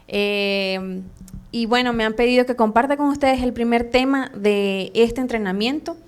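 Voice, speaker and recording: A Venezuelan woman with a Venezuelan accent teaching a church training class live, chatty and hesitant with many fillers and soft laughs. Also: on the high side, a little slow, clear, resonant tone. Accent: Venezuelan Spanish. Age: adult, has a son. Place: church meeting room.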